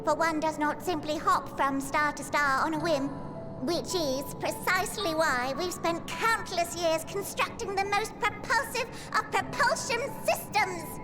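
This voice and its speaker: Very high, chirpy little rabbit creature. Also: female voice, measured pace, music in the background. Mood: chipper, matter-of-fact. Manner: theatrical, wordy, archaic phrasing. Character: chirpy, long-winded, self-important little rabbit-like moon keeper. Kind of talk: scripted video game dialogue.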